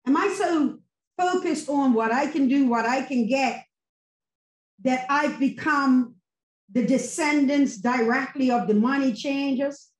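A middle-aged woman speaks in a high voice, slowly and deliberately, with preacherly fervor. She presses her points with emphatic rhetorical questions. Her webcam audio on the video call has a roomy echo. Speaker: church member giving sermon response. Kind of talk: impassioned post-sermon testimony. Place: home room with virtual background. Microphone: laptop webcam on video call.

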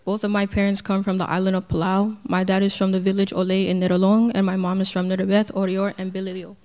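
A woman's voice: mid-range voice, monotone, fast, a crowd in the background. A teenage young woman, a student giving a prepared self-introduction on an auditorium stage. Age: teens, high school sophomore. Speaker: student speaker. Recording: echoing hall, audience noise.

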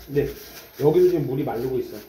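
A man's voice: medium pitch, fast talker, noisy background. A man chatting casually over a meal at a restaurant, explaining things and repeating words while he searches for them. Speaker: friend at the table. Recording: echoey restaurant with loud clattering dishes.

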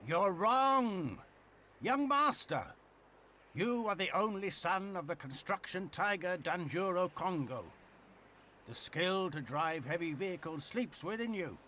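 An earnest old retainer, high and lively. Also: male voice, a little slow, slight background noise, full character voice. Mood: urgent. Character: old male loyal company retainer, earnest.

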